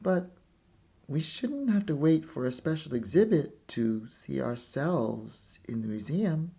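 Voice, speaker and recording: A man's voice: a little high, lively intonation, slow pace, vocal fry, dark tone, highs cut off, pitched above the performer's own voice, exaggerated voice. A man voicing a curious, earnest young girl in a storybook.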